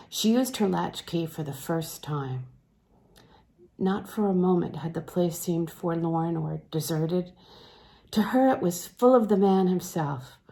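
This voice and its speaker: A woman reads a literary novel aloud in an expressive storytelling style, with dramatic phrasing that can soften into hushed tones. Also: lowish voice. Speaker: audiobook-style reader.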